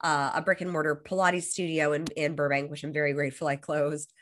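An American woman in her thirties speaks as a guest in an interview, her voice a touch lower than most. She talks fluently and upbeat and often restarts a phrase. She is still getting over a cold. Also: flat delivery, a little fast, a bit bright, a little hiss or hum. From Los Angeles, USA. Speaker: guest, high performance coach. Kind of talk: casual interview talk.

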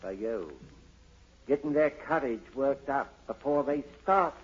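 A man's voice: mid-range voice, expressive, a little slow, husky. A man acting a sly, cowardly Cockney hanger-on in a 1940s radio drama, taunting and slangy. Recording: muffled, lo-fi 1940s radio recording.

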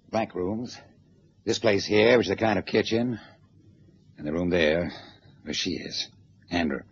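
A crisp, low-voiced operation chief. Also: male voice, lo-fi sound. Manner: crisp, instructive, polite. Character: brisk male operation organizer briefing agents. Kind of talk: 1960s radio drama acting. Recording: slightly hissy old radio recording.

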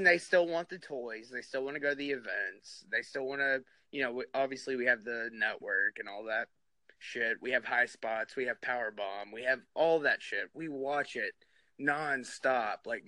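A man's voice: medium pitch, normal speed, pushed and bright, dry recording. A man in his thirties from New Jersey, hosting a casual podcast chat in a loose, profane, laughing way. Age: 30s. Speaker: podcast host.

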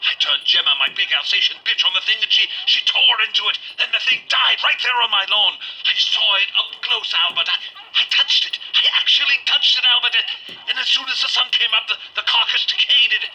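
A man's voice: sits high, bright, forceful tone, slightly rough, full character voice. An actor playing a terrified but defiant man under siege, stammering through a recorded message in an audio drama. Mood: frightened, shaken. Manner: stammering, halting, pleading. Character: besieged, terrified rural man, defiant and desperate. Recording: noisy old home recording.

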